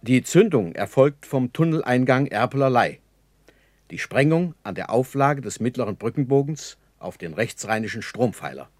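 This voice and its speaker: An actor playing a sober army engineer captain giving formal, precise reports in a German radio play. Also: male voice, medium pitch, animated, normal speed, darkish tone, no echo, clean studio sound, lightly acted. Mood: sober, reporting. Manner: matter-of-fact, formal, precise.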